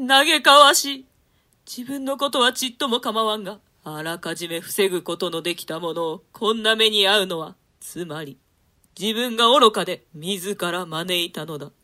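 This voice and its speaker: A woman's voice: medium pitch, bright, forceful tone, natural voice. A woman playing a doomed, remorseful nobleman in a declamatory, archaic dramatic reading of Shakespeare. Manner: archaic, declamatory, swelling with emotion. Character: doomed, remorseful nobleman lamenting his own folly.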